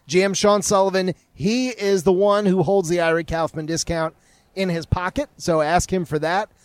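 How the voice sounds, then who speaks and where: A man co-hosting a sports talk show, reading sponsor spots in a brisk, salesy way. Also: high-pitched, fairly even intonation, dry recording. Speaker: co-host reading sponsor ads. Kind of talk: sports radio banter with live reads.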